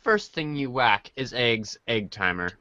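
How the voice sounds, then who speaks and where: A man's voice: mid-range voice, animated, measured pace, highs cut off, noisy background. A man reading comic narration aloud for a podcast. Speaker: podcast reader of a webcomic.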